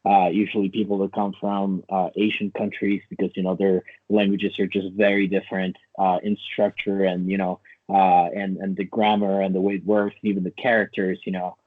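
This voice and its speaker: A man's voice: deep voice, flat delivery, moderate pace. A man hosting a casual interview, asking meandering, loosely phrased questions over a thin, narrow-band connection. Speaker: interviewer, host.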